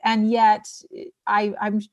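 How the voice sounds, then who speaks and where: A woman chatting as a guest, effusive and halting, often interrupting her own sentences. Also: mid-range voice, completely flat delivery, no echo, lo-fi sound. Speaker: voice actor guest. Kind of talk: casual chat, giving reactions.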